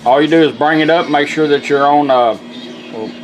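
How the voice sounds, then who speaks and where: A man's voice: a little high, moderate pace, faint music behind, poor audio. A middle-aged man giving a casual hands-on product demonstration, explaining step by step in a folksy, informal way. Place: indoors at a workbench.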